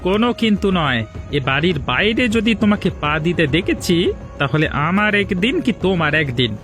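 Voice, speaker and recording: A man's voice: high voice, big pitch swings, normal speed, a bit bright, soft background music. An actor playing a domineering, scheming older village father-in-law in a cartoon drama, scolding and threatening. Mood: angry, scolding.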